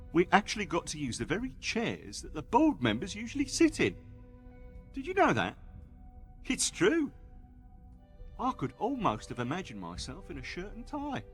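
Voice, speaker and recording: A man's voice: on the high side, fairly bright tone. An actor playing a chatty, indignant working man in a radio play, talking colloquially and firing off questions. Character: chatty, indignant working-class male employee recalling events. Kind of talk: radio play monologue acting.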